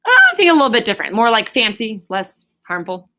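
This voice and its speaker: Female storyteller, bright and forceful, expressive, explanatory, reading aloud for a young audience. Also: on the high side, quick pace. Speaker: reader, storyteller. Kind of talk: reading a children's story aloud, with asides to a listener.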